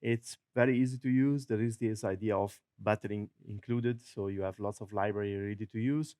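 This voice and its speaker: A man with an Italian accent giving a technical conference talk, joking often, chuckling at his own jokes and filling pauses with many uhs. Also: lowish voice, fairly even intonation, a little mellow. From Italy. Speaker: conference presenter. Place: conference hall.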